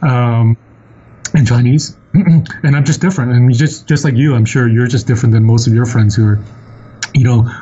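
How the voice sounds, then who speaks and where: A man from Hong Kong speaking as a podcast guest, thoughtful and measured with some hesitation. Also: medium pitch, fairly even intonation, warm tone, breathy edge, muffled recording. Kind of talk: casual interview talk.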